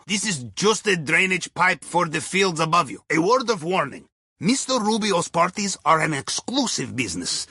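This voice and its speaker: A sly Russian ex-navy submariner guiding a crew through heists in a video game. His voice is high for a man and husky, swinging widely in pitch. He talks in a wry, conspiratorial way with a Russian accent. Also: pushed and bright, dry recording, studio quality, exaggerated voice. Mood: conspiratorial warning. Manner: wry, conspiratorial, with foreign phrasing. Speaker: mission guide over the radio.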